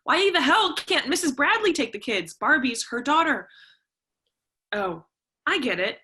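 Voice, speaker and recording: A woman acts out an eighteen-year-old American daughter in a stage drama. Her voice is fairly high and sharp, with a forward edge. She is wounded, defiant and sarcastic, speaking with raw feeling. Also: very animated, unhurried, exaggerated voice. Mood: defiant, accusing. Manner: emotional, argumentative. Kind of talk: staged play reading.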